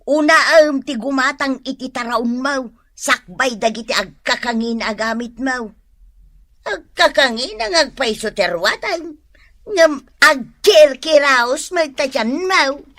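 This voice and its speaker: A woman's voice: sits high, expressive, a little slow, a bit bright. A woman with an Ilocano accent playing an exasperated mother who scolds and lectures in a radio drama. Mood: exasperated scolding. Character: exasperated, nagging mother of a spendthrift son.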